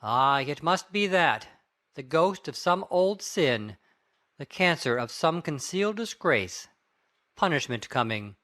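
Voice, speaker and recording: A sombre Victorian gentleman lawyer, acted by a man, broods aloud in an audiobook drama. His grave, theatrical delivery rises and falls widely, full of anxious musing. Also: a little high, lively intonation, a little slow. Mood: brooding worry. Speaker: audiobook cast reader. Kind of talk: dramatic reading of a Victorian novel.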